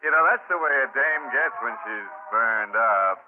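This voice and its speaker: An actor playing a folksy, sardonic horror show host who drawls slangy, ghoulish puns in vintage radio style. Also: male voice, medium pitch, lively intonation, normal speed, narrow, tinny audio, music in the background, close to an everyday voice. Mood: wry amusement. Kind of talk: 1940s radio horror hosting. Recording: thin old radio broadcast.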